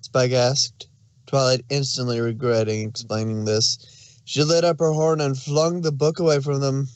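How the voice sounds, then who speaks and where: A man's voice: mid-range voice, steady tone, a little slow, clear, resonant tone, no room sound. A man reading scripted story narration as the narrator.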